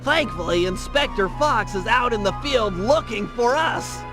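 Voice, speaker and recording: A man's voice: very high voice, lively intonation, unhurried. A man voicing a nerdy, earnest young gadget genius who briefs in a precise, wordy way for a video game. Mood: serious. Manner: precise, formal, lecturing briefing delivery. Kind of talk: animated video game cutscene dialogue. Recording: loud music under the voice.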